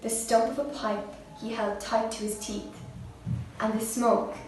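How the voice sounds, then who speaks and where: A young woman recites storybook verse in short, measured phrases. Her voice is on the high side and heard from a distance in a very echoey room, with faint noise behind it. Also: flat delivery, darkish tone. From Ireland. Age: teenager or young adult. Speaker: student reader. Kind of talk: poetry recitation, read aloud.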